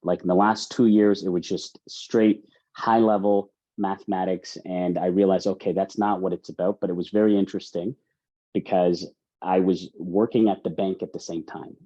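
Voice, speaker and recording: Male interview guest, thirties, Canadian accent, low relaxed voice, talkative, on a slightly dull recording. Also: moderate pace. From Ontario, Canada. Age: 30s. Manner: chatty, repeats words and phrases. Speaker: mortgage specialist, interview guest. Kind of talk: casual interview answers. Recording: dull lo-fi audio.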